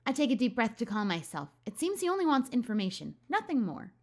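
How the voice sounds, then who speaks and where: A woman's voice: on the high side, very animated, fast talker. A woman hosting a gaming video, playfully reacting and reading story narration aloud with drama. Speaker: host of a gaming channel. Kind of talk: let's play commentary with dramatic read-aloud narration.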